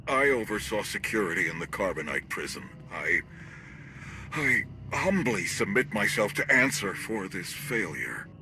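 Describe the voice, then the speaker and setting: A man's voice: fairly low pitch, very animated, measured pace, bright, forceful tone, slight background noise, full character voice. Tense, humbled male officer. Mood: fearful, submissive. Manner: formal, hesitant, deferential. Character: male prison security officer, humbled, submissive subordinate. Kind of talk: sci-fi game voice acting.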